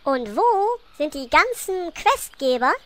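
A woman's voice: sits high, big pitch swings, a little slow, a bit bright, exaggerated voice. A woman playing a haughty, bossy queen bent on world domination in a parody audio drama. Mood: imperious.

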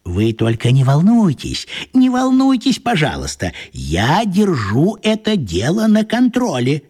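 An actor playing a fussy, apologetic, absent-minded wizard in a children's radio play, hesitant, rambling and fussily polite. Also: male voice, fairly high pitch, wildly swooping pitch, a little slow, darkish tone, husky, heavily acted. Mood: fussy, reassuring.

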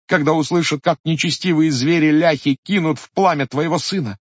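A desperate young Cossack wife cries out in a high, impassioned voice, her words tumbling in pleading bursts, as a male narrator puts her on. The storybook recording is muffled and lo-fi with a dry, close sound. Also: husky, dry recording. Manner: impassioned, crying out high and tearful.

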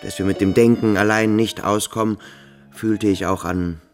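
Radio play casting: a man as thoughtful student searching for words, notably deep, earnest and inward. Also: steady tone, normal speed, soft background music, natural voice. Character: earnest, introspective young male boarding-school pupil.

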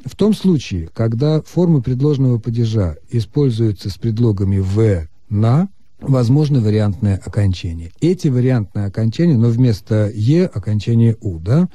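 A man calmly explaining Russian grammar as the expert on a radio call-in show. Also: mid-range voice, slightly rough, no room sound. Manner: measured, lecturing. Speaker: language expert answering listeners.

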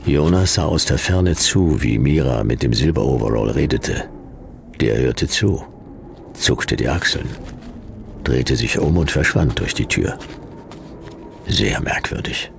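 A man playing a laconic private detective in a sci-fi radio play, narrating in clipped, deadpan with a gravelly voice. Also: a bass voice, a little slow, long pauses, slight background noise, heavily acted. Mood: dry, laconic. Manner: clipped, dry, deadpan delivery. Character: Jonas, laconic hardboiled male private detective narrating his case.

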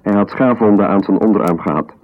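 An actor playing a dry official narrator in a radio drama, reading a report in a formal, factual way. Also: male voice, lowish voice, fast talker, natural voice. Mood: matter-of-fact. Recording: phone-like thin 1970s radio sound.

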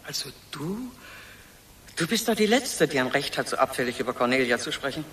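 A woman's voice: low voice, very animated, brisk, distant mic, noisy background. An older woman playing a scolding, reproachful mother of a grown daughter in a radio drama. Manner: scolding, accusatory.

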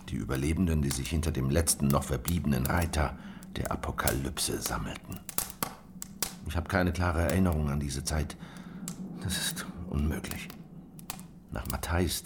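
An actor playing a weary, haunted man who survived a failed revolt, recounting it gravely in a historical radio drama. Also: a bass voice, noisy background, heavily acted.

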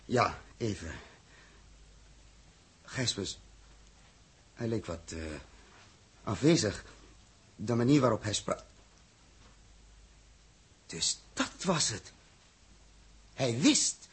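An actor playing a blunt, sarcastic space captain in a 1960s Dutch radio play, with a husky voice. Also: male voice, fairly low pitch, very animated, extremely slow, pauses often, heavily acted. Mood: shaken, subdued. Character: blunt, hot-headed male space captain, sarcastic toward experts. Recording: old 1960s radio drama recording.

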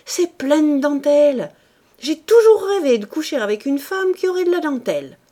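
Woman reader playing a sly, coarse middle-aged schemer; lively pitch. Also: moderate pace. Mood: scheming.

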